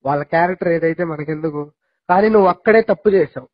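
Young guy, high voice, pushy advice on a dull sounding phone call. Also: fast. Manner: insistent, advising. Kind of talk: casual phone conversation. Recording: muffled lo-fi phone call recording.

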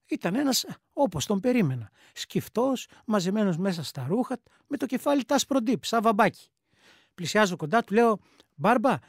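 A woman's voice: mid-range voice, no room sound, studio quality, natural voice. A woman playing an emigrant ex-soldier man, telling an anecdote colloquially in speech for a radio play. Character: plain-spoken emigrant army veteran man recounting his past.

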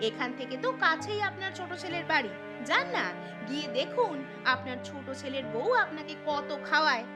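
A woman voicing a sharp-tongued, exasperated daughter-in-law in a cartoon story, scolding and indignant. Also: high-pitched, animated, fairly quick, over loud music. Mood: defiant.

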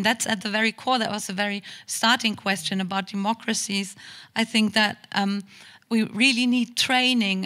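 A woman's voice: fairly high pitch, bright, forceful tone. A middle-aged woman answering a question on a stage panel, thoughtful, often restarting and laughing between points. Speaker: panel guest, theatre founder. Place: stage in a large auditorium. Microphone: boom mic on stand.

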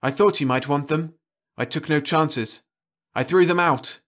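Male reader as earnest woman astronaut, soft, higher voice, sci-fi storybook. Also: measured pace. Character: calm, earnest woman astronaut stranded with husband. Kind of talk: storybook reading.

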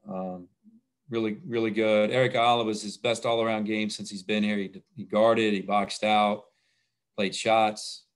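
A middle-aged man, a basketball coach, talking plainly over a video call at a postgame press conference, often restarting his phrases. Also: fairly low pitch. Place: indoors in front of a logo backdrop. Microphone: video call webcam.

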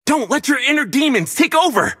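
A man's voice: extremely high pitch, animated, a little fast, fairly bright tone. A man voicing a young, earnest, cocky martial-arts fighter in a video game, with a spirited, defiant, punchy delivery. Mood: earnest, determined. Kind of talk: video game character voice lines.

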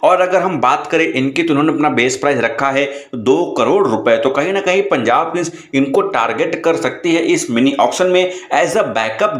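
A man's voice: on the high side, roomy sound. A man presenting a cricket channel in a voice-over. He keeps calling out to his viewers as friends and repeats the same stock phrases. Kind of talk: voice-over commentary for an online video. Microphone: voice-over, not recorded in pictured place.

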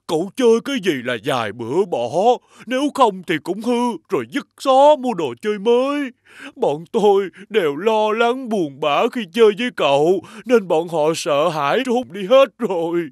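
A timid, pleading toy penguin. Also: male voice, high-pitched, big pitch swings, normal speed, no echo, studio quality. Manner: pleading, fearful. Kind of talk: cartoon dubbing.

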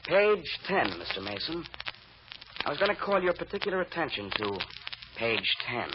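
Sly American newspaper vendor, singsong pitch. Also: male voice, sing-song, normal speed. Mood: insinuating. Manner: hesitant, pointed hints between street-seller calls. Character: sly, insinuating male newspaper vendor, politely insistent. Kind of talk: mid-century American radio drama acting. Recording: muffled, noisy old radio recording.

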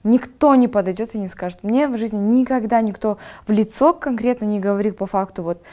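A young woman from Kazakhstan, a podcast guest, talking earnestly and pleading with many hesitant pauses, on a lapel mic in a studio. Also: mid-range voice, warm tone. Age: young adult. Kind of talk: casual studio talk. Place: studio with dark backdrop.

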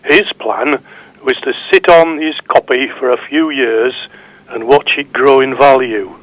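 Man playing a slow-talking, matey police inspector. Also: medium pitch, a bit bright, no room sound, close to an everyday voice, rough recording. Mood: matey, reporting. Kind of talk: audio drama phone-in dialogue.

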